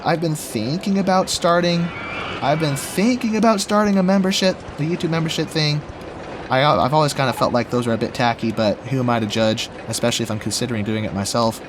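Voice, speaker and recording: Raspy-voiced young man rambling while gaming. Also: medium pitch, slight background noise. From Washington State. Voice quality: slightly raspy. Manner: rambling, chatty, laughs often, talks to himself while building. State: rough, deeper voice after being sick. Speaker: gaming YouTuber. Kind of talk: casual let's play commentary.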